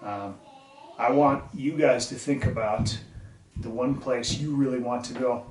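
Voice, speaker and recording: A man's voice: mid-range voice, unhurried, a little mellow, a little hiss or hum, rough recording. A man reading a children's story aloud and talking to young listeners in a simple, explanatory way. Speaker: teacher reading a picture book aloud to children.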